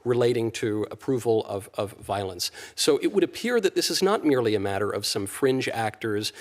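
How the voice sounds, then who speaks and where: An American man speaking at a hearing, delivering prepared political remarks in a measured, formal, polished manner. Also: medium pitch, gravelly.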